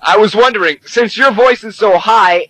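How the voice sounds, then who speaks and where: A man's voice: sits high, fairly bright tone, no room sound, poor audio. A man playing Fluke, a hot-tempered, bossy man, blustering and threatening in a comedy audio skit. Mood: teasing. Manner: brash, blustering, quick to threaten.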